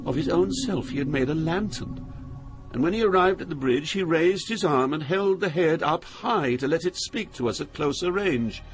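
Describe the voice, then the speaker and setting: An actor playing a sorrowful poet recounting a journey through Hell in measured, dramatic scripted narration. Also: male voice, fairly high pitch, expressive, soft background music, lightly acted, rough recording. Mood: horrified, intense.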